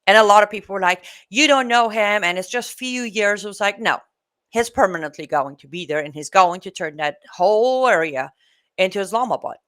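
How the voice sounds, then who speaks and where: Forties woman from Iran, guest, outspoken storyteller, medium pitch. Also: animated, fairly quick, fairly bright tone. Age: 40s. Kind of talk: casual interview talk.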